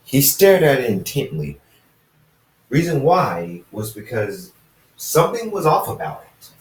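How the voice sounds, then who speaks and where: A man reading fan fiction aloud as an amateur narrator, halting and often going back to repeat phrases. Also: medium pitch, very animated. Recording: roomy echo, distant from the mic.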